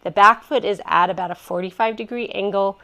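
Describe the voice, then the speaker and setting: A woman with a cold and a stuffy, congested voice, leading a gentle home yoga class with soft, encouraging cues and contented sighs. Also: mid-range voice, a little fast. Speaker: yoga instructor. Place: messy living room at home.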